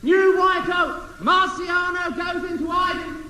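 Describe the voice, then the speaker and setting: An actor playing an excitable street newspaper seller in a 1950s radio comedy, calling out in drawn-out cries. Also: male voice, very high-pitched. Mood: excited hawking. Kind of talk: 1950s radio sitcom acting. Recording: distant, echoey, noisy radio recording.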